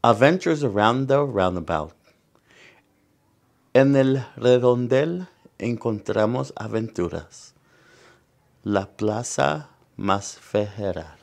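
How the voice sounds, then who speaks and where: A man's voice: mid-range voice, lively intonation, slow, creaky, long pauses. A man in his forties with a Salvadoran accent, hosting a storytime and reading a picture book aloud, explaining things to children. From San Salvador, El Salvador. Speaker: storytime host. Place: indoor room in front of wooden backdrop.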